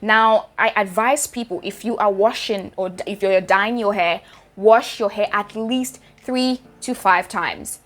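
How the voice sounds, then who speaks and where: A woman's voice: mid-range voice, unhurried, fairly bright tone. A young Nigerian woman with a Nigerian accent, giving chatty, direct hair care advice to her viewers in a casual vlog. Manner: chatty, direct, addresses viewers as sis and guys.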